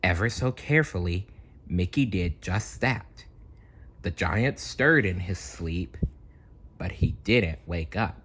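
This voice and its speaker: A man reading a children's story aloud with playful, dramatic, expressive narration. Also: low voice, takes it slowly, husky.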